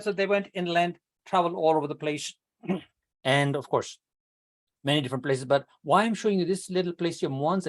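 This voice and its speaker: An older man from Kenya giving a prepared speech at an online public speaking club, telling his story with rhetorical questions and addressing the audience as ladies and gentlemen. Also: fairly high pitch. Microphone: video call.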